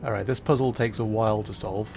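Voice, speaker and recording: A man streaming as a virtual character, giving relaxed, rambling commentary while he plays and answers chat. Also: fairly low pitch, fast talker, vocal fry, a little hiss or hum. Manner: relaxed, rambling, chuckles often. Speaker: VTuber streamer. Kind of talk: casual gameplay commentary.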